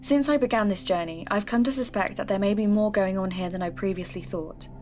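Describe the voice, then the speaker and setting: Woman acting troubled folklore podcast host, measured n earnest narration, formal wording, music behind her. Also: mid-range voice, a little mellow, close to an everyday voice. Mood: measured, uneasy. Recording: music under the voice.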